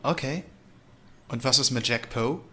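A man's voice: medium pitch, unhurried, pushed and bright, a little hiss or hum. A man playing a polite, persistent investigator in an audio drama. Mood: businesslike. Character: male investigator, polite, persistent, street-smart. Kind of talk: audio drama acting in German.

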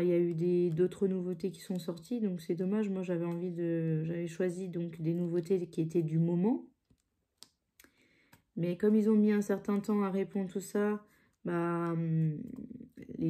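Brief: woman vlogger, congested nose, low-ish dark voice, rambling casual chat with lots of fillers. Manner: rambling, hesitant, many fillers and restarts. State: stuffy nose from a cold. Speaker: craft channel host. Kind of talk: casual chat while crafting. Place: home craft table, indoors.

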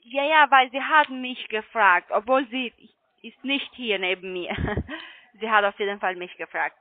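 A woman's voice: a little high, measured pace, no echo. A woman with a foreign accent, a non-native German speaker, working as a call center agent and explaining things insistently over the telephone. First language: not German. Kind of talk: customer service phone call. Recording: narrow tinny phone line.

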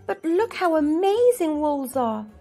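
Woman voicing an eager, high-pitched storybook dog. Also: measured pace, soft background music, full character voice. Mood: amazed. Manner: exclamatory, theatrical, expressive. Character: eager, dramatic male pet dog longing to be a wolf. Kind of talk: children's storybook read-aloud.

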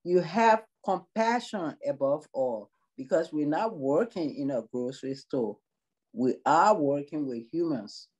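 A middle-aged woman with a Liberian accent talks slowly and earnestly over a video call. Her voice is dark and mellow. She takes her time over each thought, like someone sitting in a small room and telling her story. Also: low voice, animated, slightly rough. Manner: slow, earnest, measured. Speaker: nursing assistant in long-term care.

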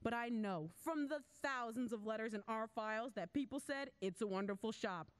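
A woman's voice: fairly high pitch, moderate pace, smooth, lightly acted. A woman reading scripted announcer narration for an old-fashioned radio play. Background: loud music.

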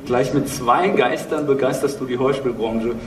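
Male moderator, forties, medium pitch, roomy live sound with clear noise. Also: normal speed. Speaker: panel host, audio drama label maker. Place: on stage in a dark event hall. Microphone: handheld microphone, heard through room. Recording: echoey hall, distant, clear background noise.

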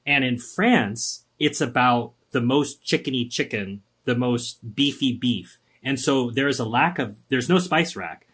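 Husky-voiced man telling animated stories. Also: mid-range voice, measured pace, few pauses. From Singapore. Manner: animated, acts out quotes with voices. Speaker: guest. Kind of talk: podcast interview, casual storytelling.

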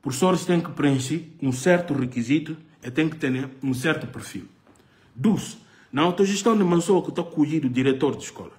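A man's voice: fairly high pitch, expressive, normal speed. Impassioned middle-aged man. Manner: impassioned, gesturing, repeats phrases for emphasis. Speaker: political commentary host. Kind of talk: live political commentary to camera. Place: living room sofa, indoors. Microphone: phone camera at arm's length.

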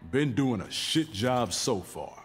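A tough male soldier in a video game, blunt and wry. His medium-pitched voice comes over clearly noisy ambience. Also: animated, slow. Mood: gruff banter. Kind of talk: video game fighter banter. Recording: game ambience noise behind.

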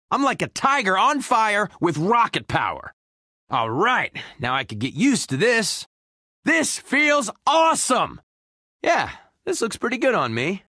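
A cocky young space outlaw from a video game, tossing off wisecracks with breezy self-assurance. His delivery is unhurried and swings wildly up and down. The sound is dry and close, with a playful swagger throughout. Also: fairly high pitch, pushed and bright, dry recording, exaggerated voice. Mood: pumped, boastful. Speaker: video game character voice actor. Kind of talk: animated video game voice clips.

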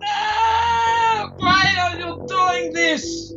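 An actor playing a sinister, taunting villain doctor in an audio drama, cunning and grandiose, with theatrical delivery. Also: male voice, very high-pitched, lo-fi sound, exaggerated voice. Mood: tormented. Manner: theatrical, slow, mocking. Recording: loud music under the voice.

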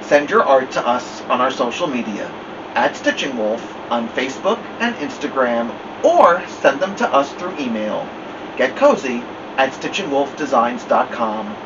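Male story time host, middle-aged, lively expressive reading, slightly distant room mic with faint noise. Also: medium pitch, measured pace, highs cut off. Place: small furnished room indoors.

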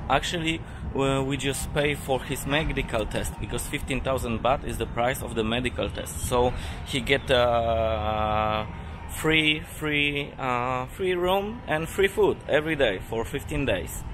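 A man in his thirties, a native Polish speaker, talking to a handheld camera in halting English with many fillers on an outdoor hotel rooftop. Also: mid-range voice. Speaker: travel vlogger. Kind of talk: casual vlog talk to camera. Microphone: handheld camera at arm's length. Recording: outdoor city noise behind.

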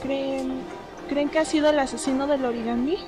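Very high-voiced, distraught grieving mother, acted by a woman. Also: fairly even intonation, moderate pace, over music, natural voice. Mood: anxious. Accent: Latin American. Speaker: fan dub voice actor. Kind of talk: dramatic video game dub.

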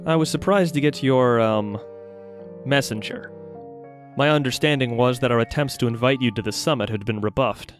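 A man's voice: mid-range voice, normal speed, natural voice. A man playing a wary, sardonic mage in an audio drama, speaking in a dry, understated way. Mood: guarded, polite. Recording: music under the voice.

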